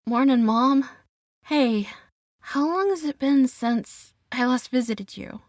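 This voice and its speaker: Woman acting an anxious, higher-pitched young woman. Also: slow, dry recording, clean studio sound. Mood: forced cheer. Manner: stammering, hesitant. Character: anxious young woman, polite, flustered and evasive. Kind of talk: audio drama dialogue.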